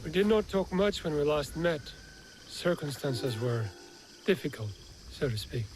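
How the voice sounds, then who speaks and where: A man's voice: on the high side, expressive, measured pace, a little hiss or hum, rough recording. An actor playing a courteous, devout European man in a video game, speaking in a formal, reflective way. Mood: warmly surprised. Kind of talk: video game cutscene acting.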